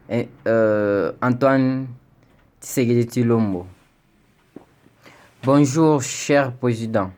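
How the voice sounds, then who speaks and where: Slow, earnest young Congolese man. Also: medium pitch. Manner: earnest, pleading, rising into indignation with repeated stammered words. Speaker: citizen addressing the president. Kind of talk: spoken video message.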